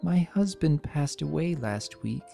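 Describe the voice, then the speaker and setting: A man voicing a weary adult female pony, a caretaker and mother, in a quiet, subdued way for a story reading. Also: on the high side, animated, a little slow, vocal fry, faint music behind, full character voice. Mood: sad, weary.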